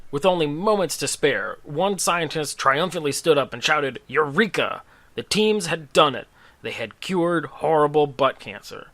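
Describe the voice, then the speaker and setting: A man's voice: medium pitch, a bit bright. A man telling a comedic scripted story in a dramatic, tongue-in-cheek way, with casual asides. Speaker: storyteller, host of a story series.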